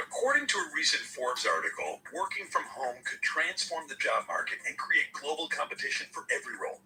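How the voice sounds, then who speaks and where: A man hosting a podcast, reading sponsor copy in a playful, animated way. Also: on the high side, vocal fry, distant mic, a little hiss or hum, poor audio. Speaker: podcast host. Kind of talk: sponsor read, partly scripted, with banter.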